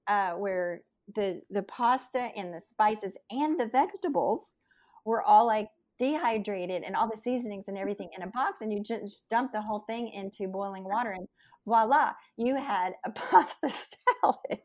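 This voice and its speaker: A woman's voice: medium pitch, normal speed. A woman in her sixties hosting an interview, chatting and reminiscing casually with many fillers. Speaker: podcast host.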